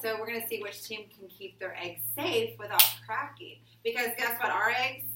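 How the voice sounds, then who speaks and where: A woman's voice: a little high, normal speed. A woman teaching an elementary class, leading an interactive read-aloud discussion with encouraging questions. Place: classroom with children answering. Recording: roomy, distant mic, faint noise.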